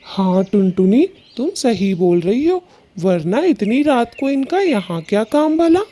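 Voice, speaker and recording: A woman voicing an earnest, protective male bird husband in a children's cartoon, expressive in delivery. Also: mid-range voice, unhurried. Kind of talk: children's cartoon story acting.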